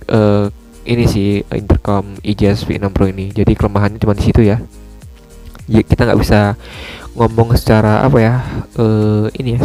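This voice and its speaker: Low-pitched man with a bright voice. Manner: explanatory, informal, repeats points for emphasis. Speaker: motovlogger reviewing gear. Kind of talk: casual product review.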